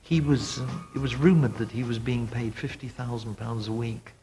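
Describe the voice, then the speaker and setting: A man's voice: medium pitch, fairly even intonation, normal speed, dark tone, a little hiss or hum. A man telling gossipy anecdotes emphatically in an interview. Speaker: interviewee, pantomime booker. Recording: VHS transfer with faint music.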